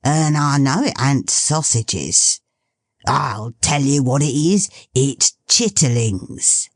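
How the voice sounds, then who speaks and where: A woman's voice: rumbling low, animated, no echo, clean studio sound, heavily acted. A woman voicing a good-natured old working-class father who muses aloud in homely dialect. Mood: musing. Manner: slow, musing, homely dialect.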